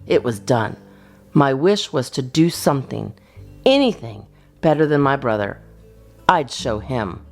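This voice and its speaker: Female storytime reader, very lively intonation, slightly low register with gravelly edges, expressive delivery for kids. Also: unhurried, long pauses, soft background music. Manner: animated, theatrical reading for children. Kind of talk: expressive children's storybook narration.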